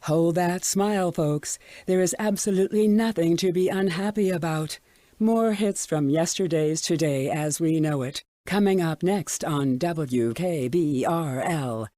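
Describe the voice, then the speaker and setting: An actor playing a controlling radio station pitchman, reading retro ads in a reassuring but commanding manner. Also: measured pace, mellow, no echo, close to an everyday voice. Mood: soothing, insistent. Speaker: radio announcer.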